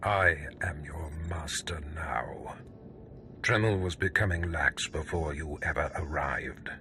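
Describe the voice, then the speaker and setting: A man playing a stern, contemptuous overseer in a video game, speaking in imperious, deliberate pronouncements. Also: rumbling low, sharp tone, a little hiss or hum, heavily acted. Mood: menacing contempt. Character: stern, contemptuous Sith overseer, a cold commanding male master. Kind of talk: video game cutscene acting.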